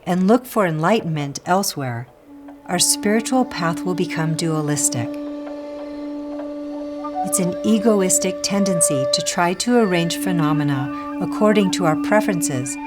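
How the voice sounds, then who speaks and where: A woman reading a scripted spiritual teaching in a measured, deliberate way, pausing between short phrases. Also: fairly low pitch, animated. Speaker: reader of a Buddhist teaching. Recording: music playing under the voice. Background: prominent music.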